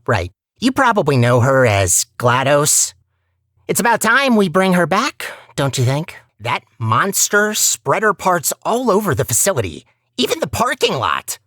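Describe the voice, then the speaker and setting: A man's voice: fairly high pitch, a bit bright, no echo, heavily acted. A chatty male robot core, played by a man, guides the player through a video game. The delivery is theatrical yet conversational, with widely swinging intonation and an anxious edge. It sounds dry, with no room around it. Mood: determined, eager. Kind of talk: animated video game voice acting.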